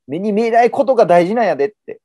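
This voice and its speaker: Fast, lively Kansai man hosting. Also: sits high, dry recording, clean studio sound. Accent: Kansai Japanese. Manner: relaxed, summarizing, gestures while talking. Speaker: host. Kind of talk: casual video-call interview. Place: indoors at home. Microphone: video call.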